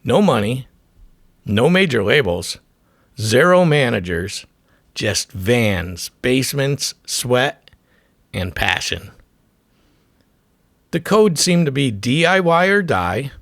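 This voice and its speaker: A man's voice: mid-range voice, creaky, a bit bright, dry recording, clean studio sound. A man narrating a scripted music documentary as its host, delivering short, punchy, dramatic phrases. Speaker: host of a music history show.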